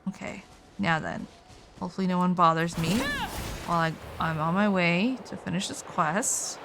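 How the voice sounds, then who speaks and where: A woman's voice: on the low side, normal speed, noisy background. A woman live-streaming a video game with casual, slangy and sardonic commentary.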